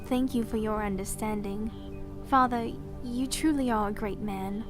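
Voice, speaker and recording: A woman's voice: medium pitch, measured pace, music in the background, lightly acted. A woman playing a proud young princess in a fantasy audio drama, with formal, old-fashioned, precise diction. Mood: tender, grateful. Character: proud young princess, soft-spoken yet defiant, sharp-tongued.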